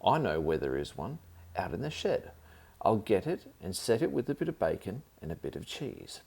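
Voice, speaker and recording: A man's voice: fairly low pitch, very animated, normal speed, vocal fry, darkish tone, slightly rough, heavily acted. A man voicing a lazy, scatterbrained fellow in a children's story, easygoing and pleased with himself.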